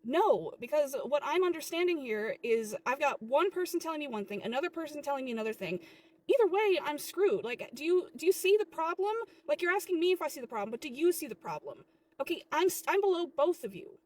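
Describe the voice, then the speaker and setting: A woman's voice: high voice, animated, quick pace, few pauses, darkish tone. A woman playing an exasperated, defensive sandwich shop employee in a comedy skit, argumentative and incredulous.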